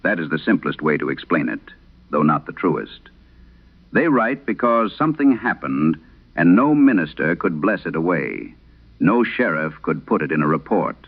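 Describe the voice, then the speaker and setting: Man performing a very deep, brooding vintage radio horror host. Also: sing-song, heavily acted. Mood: solemn, ominous. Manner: grave, deliberate, formal storytelling. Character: solemn late-night radio host of eerie letters. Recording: muffled old radio broadcast sound.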